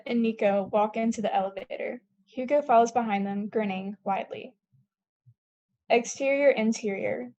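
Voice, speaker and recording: Young female narrator, higher pitch, reading screenplay action lines at a table read. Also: darkish tone, highs cut off. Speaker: stage-direction narrator.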